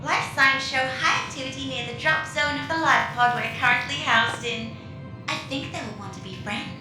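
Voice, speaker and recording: Lady doing a gushing naive spaceship ai, super echoey, loud music under it, audio drama comedy. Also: high-pitched, normal speed, natural voice. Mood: bright, informative. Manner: chirpy, gushing, wide-eyed. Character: excitable, naive newly self-aware female ship AI. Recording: echoing voice with music behind.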